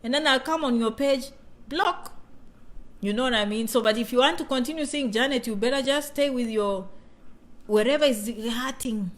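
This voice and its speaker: A woman's voice: on the high side, normal speed. A woman with a Kenyan accent, chatting casually on a livestream as a vlogger, reading comments and often asking "you know?". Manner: chatty, repeats herself, keeps asking "you know?" and "okay?".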